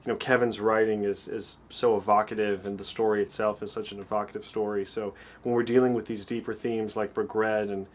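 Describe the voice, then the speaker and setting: A man speaks as a music creator in a behind-the-scenes interview. His voice is fairly low and quite even, with a reflective, earnest manner. Faint ambient noise sits in the background. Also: brisk, few pauses.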